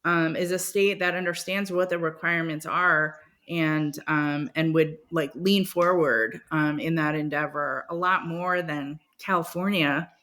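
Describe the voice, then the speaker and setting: A woman speaks as an interview guest, slow to find her words and hesitating often. Her voice is fairly low but bright and forceful. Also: steady tone. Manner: thoughtful, many ums and hesitations. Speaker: venture capital guest. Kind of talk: casual conversational interview.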